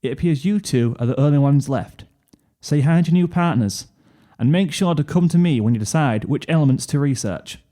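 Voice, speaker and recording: A man's voice: fairly high pitch, normal speed, dark tone, lightly acted. A man voicing a businesslike school chemistry teacher in a story, giving the class matter-of-fact instructions. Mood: brisk, upbeat. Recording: piano music under the voice.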